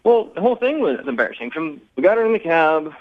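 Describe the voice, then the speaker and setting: A man's voice: a little high, big pitch swings, fast talker, narrow, tinny audio. A man talking candidly as a guest on a radio phone segment, blunt and sarcastic in an exasperated way.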